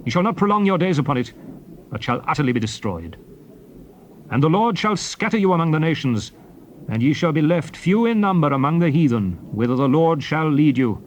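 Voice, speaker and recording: A man's voice: fairly high pitch, animated, fairly quick, natural voice. An actor playing a solemn prophet and leader exhorting his people, declaiming archaic scripture in a formal, classical stage style. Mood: stern, warning. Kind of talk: dramatized scripture reading, classical stage delivery. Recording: noisy old cassette tape recording.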